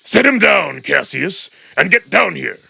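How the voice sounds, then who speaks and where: A man voicing a commanding, ruthless older male wolf official in a dramatic story, with a gravelly growl and an imperious way of giving orders. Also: sits high, big pitch swings, unhurried, pushed and bright, voice pushed up, heavily acted. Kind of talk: dramatic audiobook-style character acting. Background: music.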